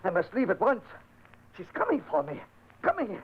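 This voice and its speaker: A man's voice: high voice, wildly swooping pitch, normal speed, slightly rough, exaggerated voice. An actor playing a mad aristocrat tormented by his dead sister, frantic and paranoid, swinging to menace. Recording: muffled hissy old radio recording.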